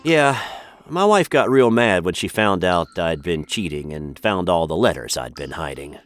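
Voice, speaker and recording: A man's voice: low voice, lively intonation, moderate pace, faint music behind. A man with an American accent playing a grumpy, hapless prisoner in a comic radio sitcom, sardonic and exasperated.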